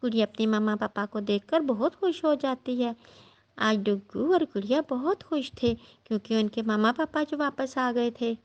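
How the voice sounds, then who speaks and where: A woman's voice: on the high side, moderate pace, darkish tone, highs cut off. A woman narrating a scripted children's story as the storyteller.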